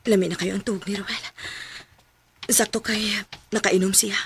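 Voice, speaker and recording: An actress with a Cebuano accent playing a battered but quietly determined young wife in a radio drama. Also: female voice, mid-range voice, fairly even intonation, moderate pace, noisy background, lightly acted. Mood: sorrowful, reflective. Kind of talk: Filipino radio drama acting. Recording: radio drama with faint music behind.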